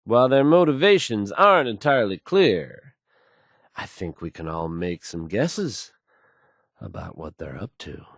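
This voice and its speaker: Casting: smooth wasteland radio host, lower register, dry sound, confident wry patter between songs. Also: male voice, very animated, unhurried, no echo, full character voice. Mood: wry, upbeat. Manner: folksy, wry, addresses listeners as folks. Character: confident smooth-talking male post-apocalyptic radio DJ.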